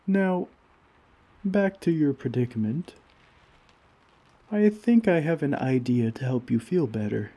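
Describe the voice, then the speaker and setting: A gentle, caring giant acted by a man in an ASMR comfort roleplay, talking to a little friend. His voice is mid-pitched and mellow, with a husky, breathy edge. He speaks slowly in courteous, old-fashioned phrasing, always tender. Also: exaggerated voice. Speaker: roleplay audio performer.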